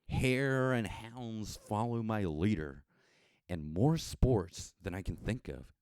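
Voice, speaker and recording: An American man narrating a story from a script in a measured, expressive storytelling manner with dramatic emphasis. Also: deep voice, no echo. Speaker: audiobook narrator.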